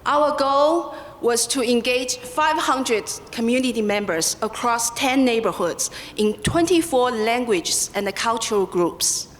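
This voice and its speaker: A woman's voice: fairly high pitch, animated, unhurried, hardly any pauses. A woman whose first language is Mandarin, working as a community liaison and giving a careful, prepared speech. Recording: roomy hall reverb, faint noise.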